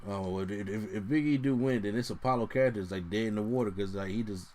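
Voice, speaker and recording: A man co-hosting a casual podcast, talking through wrestling predictions in an animated way, often restarting his sentences and jumping up in pitch. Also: fast. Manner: animated, lots of restarts and sudden pitch jumps. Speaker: podcast co-host.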